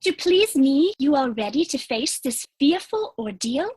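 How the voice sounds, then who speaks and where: A prim, romantic young girl, played by a woman, speaks in a high voice with lively swoops. She sounds eager and well-bred, in a dry, close recording of a comedy reading. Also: unhurried, no room sound. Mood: adoring. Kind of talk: dramatic reading of a period stage comedy.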